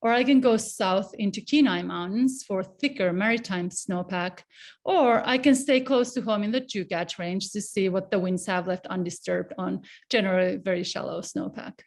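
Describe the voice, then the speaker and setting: A woman's voice: mid-range voice, moderate pace. Middle-aged woman with a Finnish accent. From Finland. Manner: casual, conversational lecturing with fillers. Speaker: guest speaker, avalanche researcher. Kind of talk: online presentation talk.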